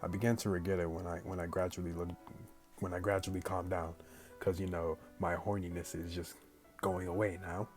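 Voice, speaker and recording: Male let's-player, deep voice, casual story reading with slangy reactions, faint music underneath. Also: a little fast. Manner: slangy, animated asides, breaks into laughter. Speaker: gaming video host reading a visual novel aloud.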